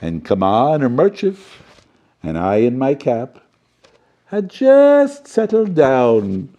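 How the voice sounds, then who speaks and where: Man playing a slow, theatrical old-school professor storyteller. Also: medium pitch, warm tone, full character voice. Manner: theatrical, fussy, chatty, tag questions, jumbled words. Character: doddering, jolly, theatrical male professor storyteller reading to children. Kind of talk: children's storybook reading with playful asides.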